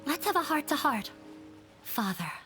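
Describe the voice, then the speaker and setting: A woman's voice: high voice, sing-song. An actress playing a shy, awkward young woman in a video game, speaking hesitantly. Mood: shy, nervous. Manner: hesitant, halting. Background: music.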